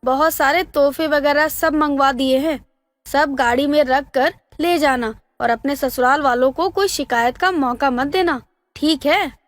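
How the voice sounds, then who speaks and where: A woman's voice: high voice, a little slow, dry recording. A woman voicing a proud, bossy middle-aged mother-in-law in a family story. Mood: instructing.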